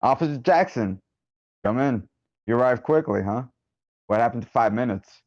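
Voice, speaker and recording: A man's voice: mid-range voice, moderate pace, close to an everyday voice. A man playing a vicious, cold middle-aged businessman killer in a screenplay table read. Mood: wry, casual. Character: vicious cold 53-year-old businessman killer, menacing and casual. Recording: muffled online meeting audio.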